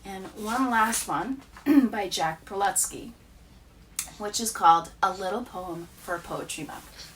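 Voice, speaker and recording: A woman teacher reading children's poems aloud with a deliberate, expressive storytelling delivery. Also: mid-range voice, distant mic, slight background noise, rough recording.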